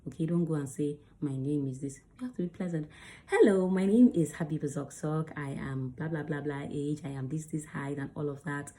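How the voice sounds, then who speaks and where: Female acting coach, chatty and emphatic, with a low, warm voice. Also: a little fast. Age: adult under 35. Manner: chatty, emphatic, demonstrates lines. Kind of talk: casual teaching to camera.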